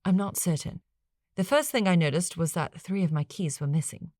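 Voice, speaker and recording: Female narrator as courteous colonial gentleman, rueful, formal, measured, lowish voice, dry audiobook sound. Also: dry recording, natural voice. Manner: formal, measured, old-fashioned wording. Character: courteous, rueful 18th-century gentleman, a lonely cursed man.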